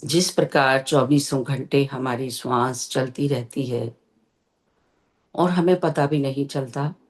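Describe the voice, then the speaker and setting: A meditation teacher leading a guided session, giving gentle instructions with long pauses between phrases. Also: mid-range voice.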